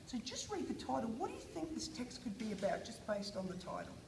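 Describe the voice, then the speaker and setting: Australian woman teacher, slightly high voice, coaxing questions, echoey classroom with noise behind. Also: very animated, fast, vocal fry. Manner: questioning, prompting, encouraging. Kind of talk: guided reading lesson with children.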